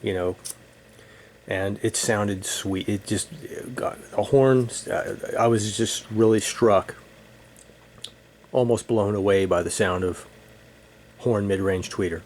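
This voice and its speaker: A middle-aged man reminiscing casually as an enthusiast, speaking in halting short bursts. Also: lowish voice. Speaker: audio hobbyist presenting own video. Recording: steady room noise, air conditioning hum.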